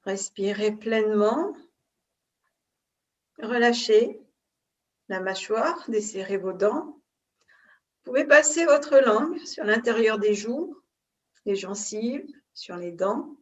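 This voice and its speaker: A woman yoga nidra instructor giving calm, unhurried guided relaxation in short phrases with pauses between them. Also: fairly high pitch. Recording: distant mic, dull muffled sound.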